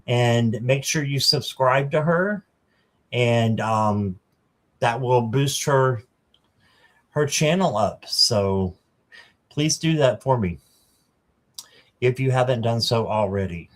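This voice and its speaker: A man in his fifties hosting a casual livestream, greeting viewers by name and filling pauses with ums. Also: mid-range voice, expressive. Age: 50s. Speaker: livestream host.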